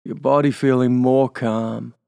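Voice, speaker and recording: Slow gravelly Australian hypnotist man. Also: mid-range voice, level tone, warm tone, no echo. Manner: slow soothing suggestions with tag questions. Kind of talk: guided hypnosis induction.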